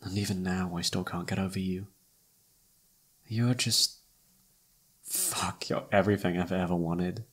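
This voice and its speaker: A young man playing a lovesick, self-blaming best friend in a halting, emotional, intimate romantic audio roleplay, with a husky voice. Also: deep voice, steady tone, fairly bright tone, full character voice. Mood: nervous, tender.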